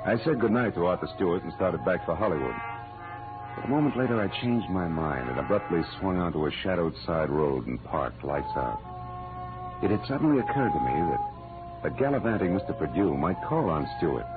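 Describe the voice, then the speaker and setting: Wry tough detective, really low voice, swinging inflection, old muffled radio drama w/ music. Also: male voice, animated, fairly quick. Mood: hard-boiled, wry. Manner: wry, clipped tough-guy delivery. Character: hard-boiled wry male private detective. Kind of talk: 1940s radio detective acting and narration.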